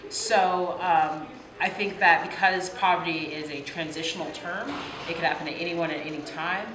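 A woman's voice: lowish voice, normal speed, a bit bright. A woman from Los Angeles giving emphatic interview answers, often restarting her thoughts. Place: indoor room with mural wall. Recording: echoey room with crowd noise.

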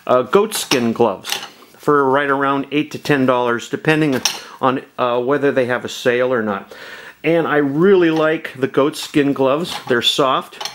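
A man hosting a DIY video, explaining a cheap welding setup at his workshop bench in a halting, restart-filled way. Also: mid-range voice, a bit bright, slight background noise. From Southern California. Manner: halting, many ums and uhs, restarts. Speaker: DIY channel host. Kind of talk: casual how-to demonstration. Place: home workshop, at a workbench.

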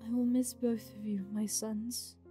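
A woman playing a commanding, scheming goddess mother with a measured, imperious delivery in a fantasy game roleplay. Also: fairly high pitch, level tone, mellow, soft background music, natural voice. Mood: solemn.

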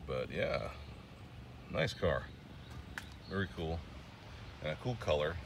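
A man's voice: deep voice, sing-song, creaky, noisy background. A man casually narrating a walkaround of an old car outdoors, rambling with fillers and restarts. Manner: rambling, chatty, pauses with fillers. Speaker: classic car vlogger.

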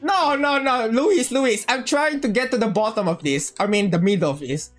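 A woman livestreamer chatting casually over a game in an excitable, joking way, often repeating phrases. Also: a little high, moderate pace. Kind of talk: casual game stream chat. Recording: game music behind.

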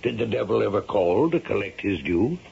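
An indignant traveller, skeptical and very animated, his creaky voice swinging widely. It is vintage radio acting on a dull recording. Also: fairly low pitch, brisk, full character voice. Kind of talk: 1970s radio drama acting. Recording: dull, noisy 1970s radio broadcast.

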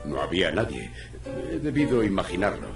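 A man voicing a gruff, weary cat private detective in a video game, with a deep gravelly voice, a terse, dry delivery. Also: big pitch swings, a little fast. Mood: businesslike. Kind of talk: video game dialogue acting. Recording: roomy echo, faint music behind.

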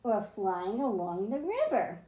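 Slow-paced woman presenting to kids, roomy sound. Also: mid-range voice, big pitch swings, dark tone, dull audio. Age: middle-aged. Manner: simple, deliberate phrasing addressed to children. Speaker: children's librarian, storytime host. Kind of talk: storytime reading and demonstration for young children. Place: small library room with bookshelves, indoors. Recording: roomy, echoing indoor sound.

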